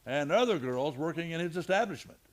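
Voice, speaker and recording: A man playing a steady, plain-talking, protective frontier lawman in an old-time radio western. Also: fairly high pitch, a little fast, lightly acted. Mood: formal, firm.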